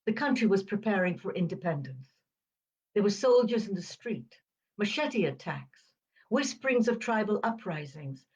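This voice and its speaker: Female, 70s, upper-crust British. Slow, reflective storyteller, precise diction, pauses between phrases, slightly muffled recording. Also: medium pitch, darkish tone. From Uganda. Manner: crisp enunciation, measured phrasing with deliberate pauses. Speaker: podcast host. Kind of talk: reflective personal storytelling monologue.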